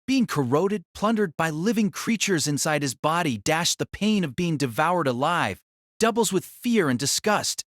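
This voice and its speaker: Man, audio drama narrator, dramatic scripted delivery, higher voice, dry recording. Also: animated, normal speed, a bit bright, no room sound.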